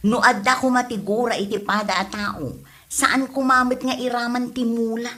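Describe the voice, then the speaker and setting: A woman's voice: fairly high pitch, steady tone, moderate pace, fairly bright tone, lightly acted. A woman with an Ilocano accent playing a sassy, gossiping village friend in a comedy audio drama, indignant and teasing.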